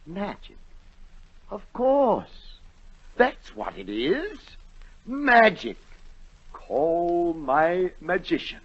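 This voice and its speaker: A man's voice: sits high, very animated, drawn-out words, long pauses, exaggerated voice. An actor playing an imperious, impatient, pompous king in a 1940s radio drama. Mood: struck, decisive. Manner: pompous, commanding. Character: imperious, impatient, blustering king of a storybook realm. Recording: dull, raspy-edged 1940 radio recording.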